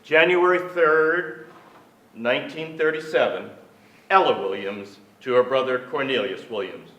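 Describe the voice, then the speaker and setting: A man's voice: mid-range voice, expressive, a little slow, highs cut off, close to an everyday voice. An older man acting as a sober, formal narrator of family history in a staged reading. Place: theatre stage before an audience. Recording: echoey theatre stage.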